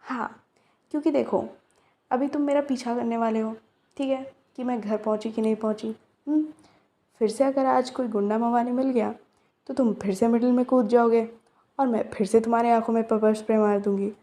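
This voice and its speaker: Teasing young woman, mellow voice. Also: on the high side, steady tone, fairly quick. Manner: teasing, chatty and playful. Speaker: voice actor in a romance roleplay. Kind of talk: ASMR roleplay, talking to a silent listener.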